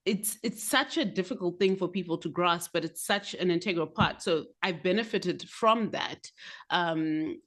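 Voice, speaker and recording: A woman co-hosts a podcast with a slightly low voice. She speaks frankly and conversationally, tossing in casual asides. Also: moderate pace, a bit bright. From Zimbabwe. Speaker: podcast co-host. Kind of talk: candid casual chat.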